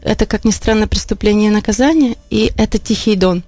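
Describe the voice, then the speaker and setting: A woman from Ukraine, talking as an interview guest in a halting, reflective, conversational way. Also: mid-range voice, darkish tone, no echo. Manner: halting, reflective, frequent filler phrases. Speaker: interview guest, singer-songwriter. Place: radio studio.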